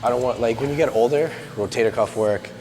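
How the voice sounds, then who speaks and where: A man's voice: mid-range voice, fast. A man in his thirties chatting casually and rambling with lots of fillers while working out in a gym. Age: 30s. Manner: rambling, filler-heavy, upbeat. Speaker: podcast host working out. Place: large echoey gym. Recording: echoey gym with music behind.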